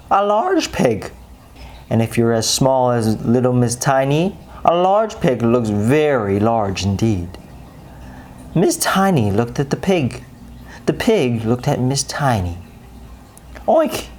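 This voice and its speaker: Female storyteller for children's bedtime tale: very deep register, animated and dramatic delivery, some background noise. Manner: dramatic, with deliberate pauses and emphasis.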